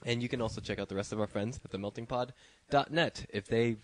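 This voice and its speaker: A man's voice: mid-range voice, fast, no echo. A man co-hosting a music podcast, congested and a little nasal from allergies, rambling and joking with many restarts. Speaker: podcast co-host. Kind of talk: casual podcast banter.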